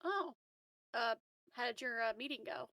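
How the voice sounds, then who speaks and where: A woman's voice: on the high side, expressive, moderate pace, pushed and bright, no echo, clean studio sound. A woman casually improvising in character as a tabletop game player, sounding hesitant and a little awkward.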